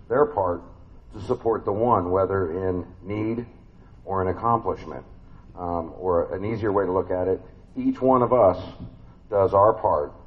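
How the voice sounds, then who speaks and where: Big-voiced man preaching a relaxed sermon. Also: low voice, lo-fi sound. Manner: conversational, folksy, many fillers and restarts. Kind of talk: family sermon. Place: church.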